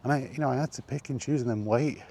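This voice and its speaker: A man's voice: medium pitch, quick pace, vocal fry, mellow, slight background noise. A man talking off the cuff in an exasperated gaming rant, emphatic and full of rhetorical questions. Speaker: solo video game vlogger.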